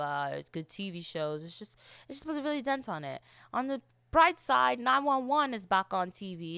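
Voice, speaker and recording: A young woman from Florida chats in an affected British accent laid over her American one. Her voice is bright and swings widely in pitch as she rambles expressively to listeners. The sound is dry and close, like a casual solo podcast. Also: clean tone, no echo. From Florida, United States. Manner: expressive, rambling, exasperated emphasis with repeated phrases. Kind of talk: casual, chatty monologue.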